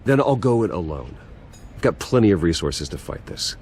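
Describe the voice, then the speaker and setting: An actor playing a guarded wealthy heir under attack, terse and controlled, in cinematic video game dialogue. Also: male voice, deep voice, sing-song, moderate pace, a little mellow, slight background noise. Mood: angry, determined. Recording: music behind the voice.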